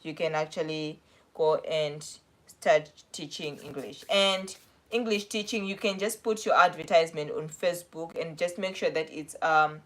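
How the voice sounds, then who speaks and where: A young woman with a South African accent talks through viewer questions on a casual livestream. Her voice sits a little low, and she rambles and corrects herself as she goes. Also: moderate pace, a bit bright. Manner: chatty, rambling, self-correcting. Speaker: livestream host.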